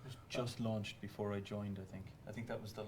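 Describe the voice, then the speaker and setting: A man with a slightly low voice talks at a fast clip in casual panel chat. There is faint noise and room ambience behind him, as on a live stream. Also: flat delivery, darkish tone. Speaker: game developer on a discussion panel.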